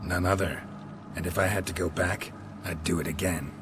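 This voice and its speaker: A man playing a gruff, laconic monster hunter in a video game, terse and deadpan with a low gravelly voice. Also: moderate pace, music in the background, lightly acted. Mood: cool defiance.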